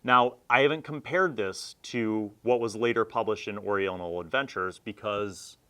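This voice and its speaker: A man's voice: lowish voice, normal speed, a bit bright, clear, resonant tone. A middle-aged man hosting a casual review, chatting informally and thinking aloud with rambling asides. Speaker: host reviewing old gaming magazines.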